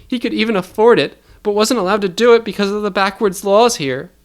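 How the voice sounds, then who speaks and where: A man's voice: high voice, brisk, pitched above the performer's own voice, lightly acted. A man voicing a grieving, defiant young girl in an audio story, pleading and indignant. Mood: indignant grief. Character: desperate, grieving, defiant teenage girl rebel.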